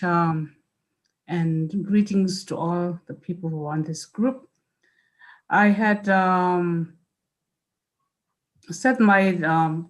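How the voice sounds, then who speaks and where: Soft-spoken woman lecturing on a call. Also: fairly low pitch. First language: Mizo. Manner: hesitant, many fillers and restarts. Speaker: guest speaker, linguist. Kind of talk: online lecture introduction. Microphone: video call.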